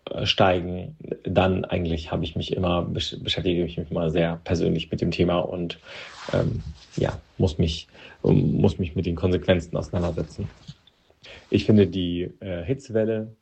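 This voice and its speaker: Hesitant low-voiced man from Moldova. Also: level tone, vocal fry, darkish tone, a little hiss or hum. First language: Russian. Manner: hesitant, with many pauses and restarts. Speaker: interview guest. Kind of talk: personal interview account.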